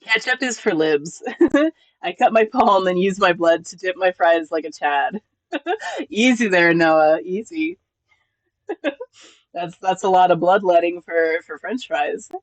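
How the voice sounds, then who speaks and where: A man from California and New Mexico chatting on a live stream while reading chat, halting with many restarts and frequent laughs. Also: high voice, dry recording. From born in California, raised in New Mexico. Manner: laughs often, easygoing.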